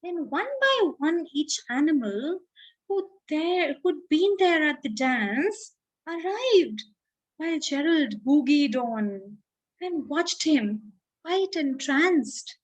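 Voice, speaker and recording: A woman reading a children's picture book aloud expressively, pausing dramatically and repeating phrases for young listeners. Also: high voice, very animated, dull audio. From India.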